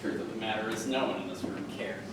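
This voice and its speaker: An actor playing a sardonic, lecturing husband. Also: male voice, lowish voice, lightly acted. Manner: mocking, drawn-out pronouncements with dry laughs. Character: sardonic, cynical middle-aged husband who lectures and mocks. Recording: roomy, distant mic with background noise.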